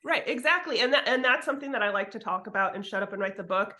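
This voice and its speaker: A woman's voice: on the high side, lively intonation, quick pace, sharp tone. Candid, casual woman giving advice. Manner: candid, informal, occasional swearing. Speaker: guest author giving writing advice. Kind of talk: casual interview chat.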